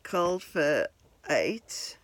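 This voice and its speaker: Woman in her forties, rambling vlog talk, slow unhurried pace, dry close phone sound. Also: low-pitched, big pitch swings, no echo. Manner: rambling, chatty, self-deprecating, many fillers. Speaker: vlogger. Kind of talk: casual talk to camera. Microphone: handheld phone.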